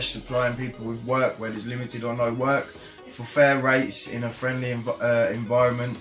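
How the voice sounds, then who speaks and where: A young man talks eagerly but with little melody, tripping over his words and starting again. His voice echoes in a roomy space, and faint music plays behind him. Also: mid-range voice. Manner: enthusiastic, halting with restarts. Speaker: programme participant. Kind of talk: unscripted testimonial.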